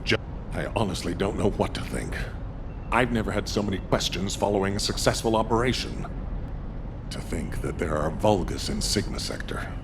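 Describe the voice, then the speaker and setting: Serious, lowish-voiced operations leader. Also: male voice. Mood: troubled. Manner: measured, resolute, expository. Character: serious male operations leader briefing his team. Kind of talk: video game briefing dialogue. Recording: clear rumbling vehicle noise behind.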